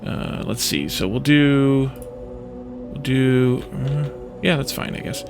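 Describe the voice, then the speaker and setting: A man's voice: medium pitch, slow pace, music in the background. A man giving casual let's play commentary, thinking aloud in a hesitant, self-questioning way.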